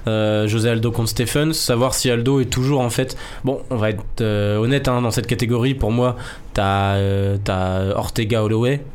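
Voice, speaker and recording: A man with a mid-pitched, slightly hoarse voice leads a casual sports discussion. He talks informally as host, feeling his way through his phrases. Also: steady tone, moderate pace, darkish tone. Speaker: host of an MMA discussion show.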